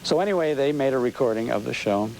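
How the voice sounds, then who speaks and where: An American man, middle-aged or older, explaining things as an unhurried storyteller while being interviewed on television. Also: medium pitch, lively intonation, gravelly, a little mellow. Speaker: interview guest, old-time radio show host and collector. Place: TV studio interview. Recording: noisy 1990s local TV broadcast.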